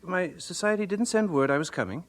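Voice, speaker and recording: An actor playing an earnest, idealistic young missionary in an audio drama, eager, pious and a little naive. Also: male voice, high-pitched, expressive, a little fast. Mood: eager, surprised. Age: young adult.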